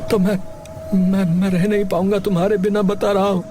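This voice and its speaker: High-pitched distraught devoted husband acted by a man. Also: level tone, fairly quick, noisy background, close to an everyday voice. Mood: worried, desperate. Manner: agitated, stammering with repeated words. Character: distraught devoted husband from a family of healers, stubborn. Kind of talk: dramatized Hindi moral story acting.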